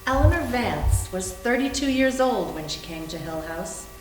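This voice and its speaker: A woman's voice: medium pitch, animated, normal speed, faint music behind. A woman giving a scripted dramatic reading of a novel in a readers theater. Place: indoor room, live library reading. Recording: echoey room with faint hum.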